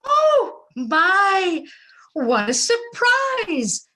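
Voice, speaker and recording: A woman's voice: extremely high pitch, wildly swooping pitch, very slow, pushed and bright, heavily acted. A woman playing a stubborn, defensive mother of a grown son in a live play reading over a video call, indignant and exclamatory. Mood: flustered surprise.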